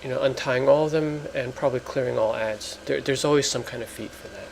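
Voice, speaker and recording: A man giving halting, explanatory let's play commentary as host of a gaming video. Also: mid-range voice, steady tone, gravelly, slight background noise, low-quality recording.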